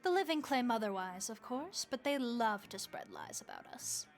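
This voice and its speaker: A woman voicing a friendly, motherly vampire innkeeper who speaks gently and reassuringly to the listener in an audio roleplay. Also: fairly high pitch, huge pitch swings, normal speed, faint music behind. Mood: earnest, comforting.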